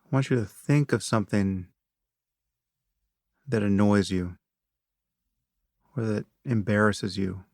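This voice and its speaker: A man narrating a guided meditation in a measured, instructive manner, speaking in short phrases with long pauses between them. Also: on the low side, a little mellow, dry recording, studio quality.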